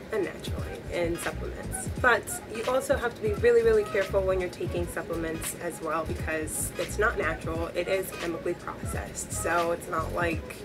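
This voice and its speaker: A young woman talks straight to her camera in a small bedroom, her voice a little hoarse from a cold. She sounds chatty and upbeat, with a somewhat low pitch for a woman. Background music runs clearly under her voice. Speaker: beauty vlogger. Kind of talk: casual talk to camera.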